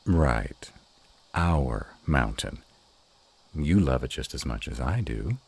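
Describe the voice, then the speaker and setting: A man playing a gruff, protective, uptight male were-dragon in an intimate roleplay audio drama, halting and dramatic. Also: a bass voice, very animated, heavily acted. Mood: protective, wary. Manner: halting, dramatic, slow delivery with emphatic outbursts.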